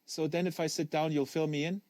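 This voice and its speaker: A man's voice: fairly high pitch, flat delivery, fairly quick, darkish tone, no echo, voice pushed up, lightly acted. A man reads as a precocious young boy in a literary audiobook. He is wary and literal, stumbling over some words and exact to the point of pedantry. The sound is close and dry. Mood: earnest, inquiring. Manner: hesitant, stammering, pedantically exact. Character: precocious, wary, literal-minded ten-year-old tennis prodigy boy.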